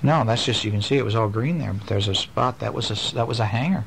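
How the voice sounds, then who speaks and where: A father reading bedtime stories aloud to his children, gentle and animated, pausing to ask them about the pictures. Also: lowish voice, creaky, slight background noise. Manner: gentle, animated storytelling with questions to the kids.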